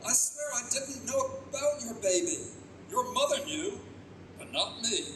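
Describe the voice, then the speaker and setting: High-pitched, excitable father acted by a man. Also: a little slow, heavily acted. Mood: pleading. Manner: excitable, theatrical, pleading. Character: anguished, wisecracking dead father reborn as helpless baby. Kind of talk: live old-time radio play acting. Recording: echoey live stage, distant mic, clear noise.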